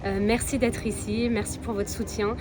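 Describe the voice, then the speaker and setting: A woman's voice: mid-range voice, flat delivery, normal speed, background noise. A woman from Belgium, chatting casually to viewers as a travel vlogger. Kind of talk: casual vlog chat to viewers.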